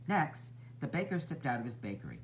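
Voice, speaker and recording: A man reading a picture book aloud to young children in a teacherly, expressive way, drawing out phrases for effect. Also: mid-range voice. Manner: expressive, drawn-out refrains and raised character voices. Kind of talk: animated storybook read-aloud for young children. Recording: muffled lo-fi recording with loud hum.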